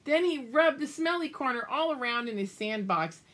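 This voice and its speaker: A woman's voice: high voice, lively intonation, moderate pace, fairly bright tone. An older woman reading a picture book aloud to young children, with exaggerated emphasis and friendly asides to her listeners. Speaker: children's storybook reader. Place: small room at home, indoors.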